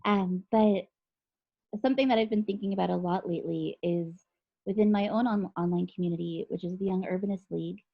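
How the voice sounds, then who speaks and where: Husky-voiced woman moderating a panel. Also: mid-range voice, brisk, dull audio. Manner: enthusiastic, affirming. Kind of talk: online panel discussion.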